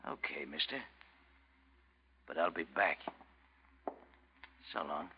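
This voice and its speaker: An actor playing a pushy, menacing stranger in a 1940s radio noir drama, brusque and slangy. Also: male voice, rumbling low, fairly even intonation, takes it slowly, slightly rough, full character voice. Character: pushy, menacing male stranger, tough and suspicious. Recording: dull old radio recording.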